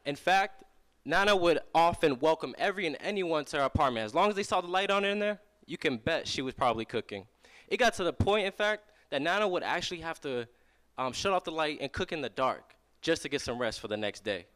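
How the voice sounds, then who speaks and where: A young man delivers a startup pitch as a story to a live audience. His voice sits somewhat high, and he projects with energy and emphasis. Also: lively intonation, a little fast, fairly bright tone. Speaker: student startup founder pitching. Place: venture lab event room.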